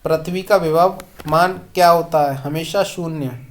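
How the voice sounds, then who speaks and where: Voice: mid-range voice, fairly even intonation, a little slow. Methodical exam coach reading questions and answers. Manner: methodical quiz delivery, each question followed by a short answer. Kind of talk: question-and-answer lesson read aloud.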